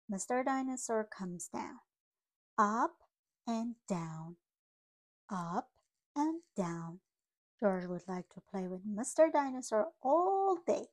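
A woman reading a picture book aloud to young children, in simple, deliberate phrases as a storytime host. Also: mid-range voice.

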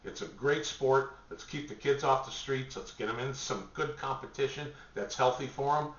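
An older American man in his sixties presenting information to camera in a halting, measured, explanatory way. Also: mid-range voice, fairly bright tone. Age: older, about 60s. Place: small home office, indoors. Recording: echoey, distant, muffled webcam sound.

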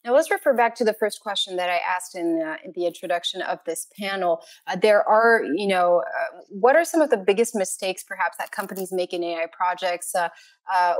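A woman's voice: medium pitch, no room sound. Dry, close-sounding woman moderating a panel. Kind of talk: hosting a panel discussion. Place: indoor room with curtain, video call. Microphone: wired earphones mic.

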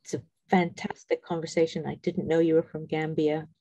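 A woman raised in Zambia, interviewing a guest over a video call from a small room, soft-spoken and attentive. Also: low-pitched, little pitch movement, a little fast, no room sound, rough recording.

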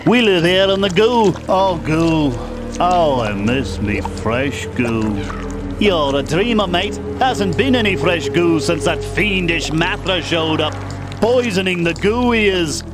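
A man's voice: sits high, lively intonation, slow. A man with a Liverpool accent voicing a chummy, mournful talking swamp creature in a children's cartoon game. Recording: music underneath.

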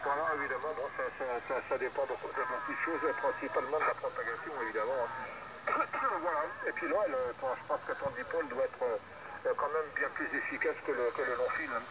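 A man's voice: medium pitch, fairly quick. A man heard over radio, chatting casually in a rambling, repetitive, hesitant way. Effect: radio transmission. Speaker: amateur radio operator. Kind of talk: casual radio contact chat. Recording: distant muffled radio speaker, very noisy. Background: loud radio and outdoor noise.

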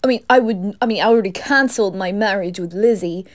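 A woman voicing a reserved, proud, aristocratic young brother in an ASMR fan roleplay, hushed, hesitant and earnest. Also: medium pitch, fast talker, no echo, lightly acted. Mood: awkward, disgusted.

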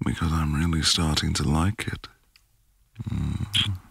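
A man acts out a loving, playful young boyfriend in an intimate audio roleplay. His very low voice is husky and breathy, murmured slowly and close to the microphone, with lingering pauses and hums. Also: fairly even intonation, a bit bright, no room sound, full character voice. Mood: teasing, amorous. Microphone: close, dry microphone.

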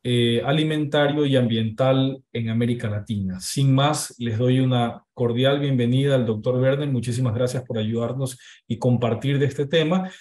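A man's voice: medium pitch, moderate pace, darkish tone. A man moderating a webinar over a video call, introducing himself and the guest in a polite, formal way with some fillers.

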